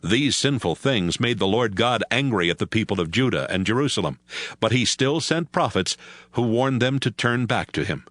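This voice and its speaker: A man narrating an audio Bible drama from a script in a measured storytelling manner. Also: on the low side, expressive, a bit bright, no room sound.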